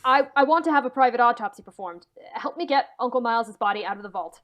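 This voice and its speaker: A woman's voice: fairly high pitch, animated, fast talker, a bit bright. A woman acting a nervous, hesitant man in an old-time radio mystery, stammering as the character confides. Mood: urgent. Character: anxious man confiding a suspected family murder.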